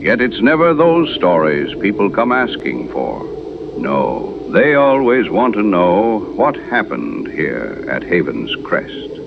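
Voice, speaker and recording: An actor playing an ominous old small-town priest, slow and knowing, telling a story in a radio horror drama. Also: male voice, on the low side, huge pitch swings, full character voice. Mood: grave ominous. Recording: dull lo-fi radio sound, clear noise.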